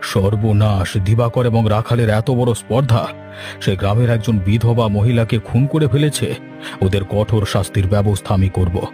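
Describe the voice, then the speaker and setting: A man's voice: lowish voice, fairly even intonation, moderate pace, over music, natural voice. A man voicing an authoritative village headman in a cartoon, stern and concerned, with theatrical delivery. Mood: shocked, stern. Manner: theatrical, declarative. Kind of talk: Bengali cartoon voice acting.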